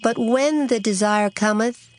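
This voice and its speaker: A woman narrating an audio Bible as a dramatized scripture reading, in a solemn, formal, measured way. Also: a little high. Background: background music.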